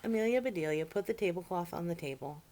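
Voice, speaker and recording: A woman's voice: fairly low pitch, fast, darkish tone, a little hiss or hum. A woman reading a picture book expressively for children, with friendly asides to the young listeners. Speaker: children's story-time reader.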